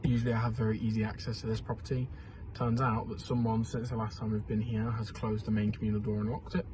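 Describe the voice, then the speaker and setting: A man's voice: lowish voice, flat delivery, fast, background noise. A 21-year-old man, talking candidly and rambling in a casual vlog diary while ill with a sore throat and sniffles. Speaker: vlogger.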